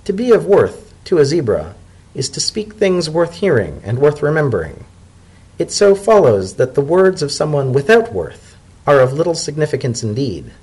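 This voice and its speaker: A man acts out an old female zebra scholar for a storybook tale. The voice is pitched up and slightly husky. She speaks formally and with measured care, indulgent and gently wry, every bit the shrewd diplomat. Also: very animated, poor audio. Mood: amused, indulgent.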